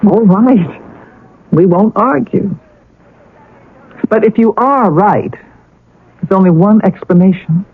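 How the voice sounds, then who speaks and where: Earnest, husky-voiced woman confidante. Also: mid-range voice, slow, long pauses. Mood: reasoning. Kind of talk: 1970s radio drama acting. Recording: muffled, band-limited old radio recording.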